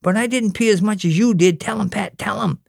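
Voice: fairly quick, vocal fry, a little mellow, dry recording, clean studio sound. A reader voicing a curious, whiny little boy, nagging his older brother with questions. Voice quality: very high, childlike.

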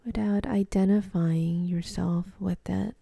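A woman leading a guided meditation, speaking softly and breathily with gentle, unhurried instructions and pauses. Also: mid-range voice, fairly even intonation, gravelly, slightly rough, no room sound, clean studio sound.

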